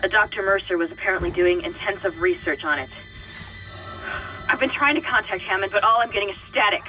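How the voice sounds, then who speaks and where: An actress playing a tense, businesslike technician guiding a teammate by radio in a sci-fi game. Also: female voice, medium pitch, fairly quick, faint music behind, poor audio. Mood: urgent, businesslike. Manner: urgent, businesslike. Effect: radio transmission. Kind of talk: dramatic sci-fi game acting. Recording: radio comms with very loud noise.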